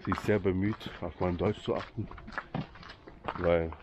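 A deep, low-voiced man with a Swiss accent talks casually to his viewers, joking as he walks. He is outdoors by a lake, with clear outdoor noise behind him. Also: steady tone, unhurried. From Switzerland. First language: Swiss German. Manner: chatty, joking, addresses viewers directly. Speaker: vlogger. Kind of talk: casual vlog commentary to viewers.

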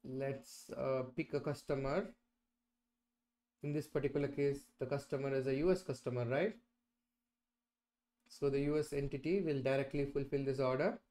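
A man's voice: mid-range voice, steady tone, moderate pace, pauses often, dark tone. A middle-aged man presenting an explanatory screen-share tutorial, often checking in with "right?" and "okay". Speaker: instructor presenting a software tutorial. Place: indoors, at a computer.

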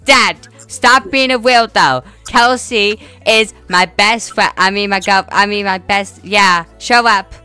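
Woman doing a cheeky kid son for a game roleplay, pitched up, blurts stuff out. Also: slow pace, few pauses, pushed and bright, clean tone, soft background music. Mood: embarrassed. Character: cheeky, excitable young son in a family roleplay.

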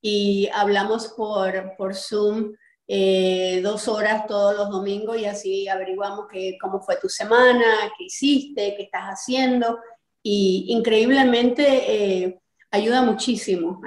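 A woman's voice: mid-range voice, steady tone, a little slow. Echoey middle-aged woman on a call. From Cuban American from Miami. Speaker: interview guest, artist and activist. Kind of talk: conversational interview answers. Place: bright indoor room, on a video call. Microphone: video call, sitting back from the camera. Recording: echoey room over video call.

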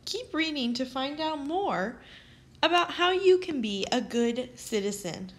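Slow, lively woman reading to children. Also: high voice. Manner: expressive, carefully phrased, addressing young children. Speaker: teacher reading aloud. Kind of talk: children's read-aloud narration.

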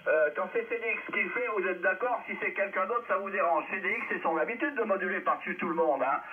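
A man's voice: high voice, fast. A man speaking as an amateur radio operator, arguing in a lecturing, hesitant way with frequent tag words, over a narrow shortwave link. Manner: lecturing, hesitant, tags phrases with "hein". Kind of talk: argumentative radio chat. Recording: tinny, narrow-band shortwave radio transmission.

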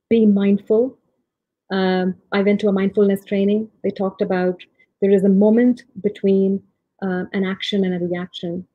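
A soft-spoken woman in her thirties from India gives a reflective leadership talk from home over a video call, with frequent ums and restarts. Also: medium pitch, no echo. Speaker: tech leader giving a conference talk. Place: home room, remote talk. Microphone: laptop or webcam mic, video call. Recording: tinny, husky dry video-call audio.

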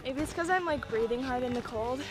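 Young woman, hoarse, chatty casual vlog, handheld camera outdoors in snow, music behind. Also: high voice, fairly quick, low-quality recording. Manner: chatty, frequent "like" and "super". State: hoarse, losing her voice. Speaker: hiking vlogger. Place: outdoors on a snowy mountain trail. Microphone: handheld action camera at arm's length.

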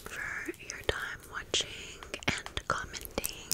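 A woman whispering in a breathy, unvoiced voice, chatting informally to viewers as friends in a casual ASMR storytime. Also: slow, sharp tone. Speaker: ASMR video creator and host.

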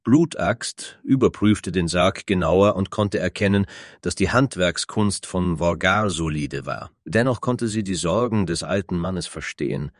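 A man's voice: sits low, a little slow, dry recording, clean studio sound. A man narrating a scripted fantasy story.

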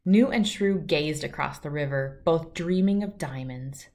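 A woman's voice: low voice, unhurried, darkish tone. A middle-aged woman narrating a children's storybook as a read-aloud host.

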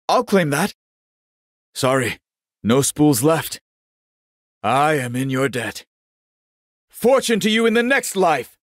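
A man voicing a genial, vain old warrior monk in a video game, speaking in a measured, courtly and wryly pious manner. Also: mid-range voice, slightly rough, no echo, clean studio sound, heavily acted. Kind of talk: scripted game character voice lines.

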